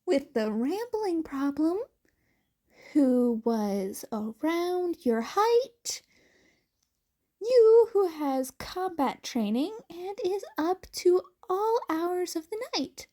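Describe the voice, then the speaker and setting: A woman's voice: very high voice, big pitch swings, very slow, mellow, voice pushed up, heavily acted. A woman voicing a stern, insistent teenage girl who probes her friend, in a fan fiction reading. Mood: stern, probing. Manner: pointed, insistent.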